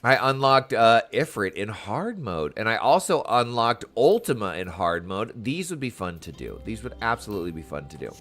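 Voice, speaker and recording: A playful male gaming streamer with a very lively, swinging delivery. He chats casually and exclaims freely as he plays, with game music running behind him. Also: on the low side, moderate pace, few pauses. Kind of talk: casual playthrough commentary. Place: dark room at home.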